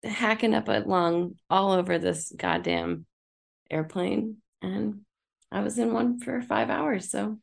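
A woman's voice: medium pitch, big pitch swings, slow, creaky. A woman co-hosting a podcast, telling stories casually with a lot of swearing and joking. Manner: profane, joking. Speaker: podcast co-host. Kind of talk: casual chat and comic storytelling.

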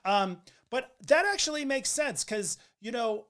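A flight attendant chatting casually, telling stories in an animated, frank way and laughing easily. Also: medium pitch, unhurried, a crowd in the background. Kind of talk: casual conversation.

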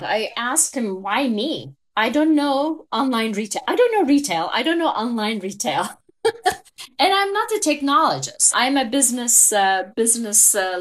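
A woman in her fifties from Turkey with a Turkish accent, a guest in an interview telling her career story reflectively, with frequent small laughs. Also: fairly high pitch.

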